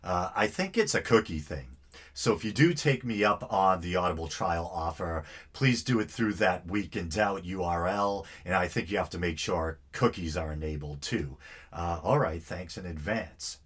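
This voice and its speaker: A man's voice: sits low, fairly bright tone, husky. A man hosting his own podcast in a casual, rambling, easygoing monologue, his voice stuffy and nasal. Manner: rambling, self-deprecating, easygoing. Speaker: podcast host.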